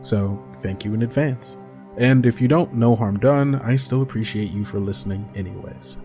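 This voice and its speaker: A man's voice: on the low side, normal speed, mellow, soft background music. A man hosting an actual play podcast, giving an upbeat promotional read that appeals directly to listeners. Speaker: podcast host.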